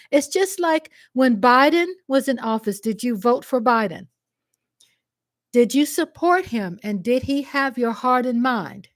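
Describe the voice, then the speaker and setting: A Texan woman speaks to her viewers with passion and emphasis, and she repeats phrases to drive them home. Her voice sits a little high and she talks slowly and deliberately. The sound is dry and close, with no room echo. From Texas. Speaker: vlogger answering a commenter. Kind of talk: impassioned direct-to-camera monologue.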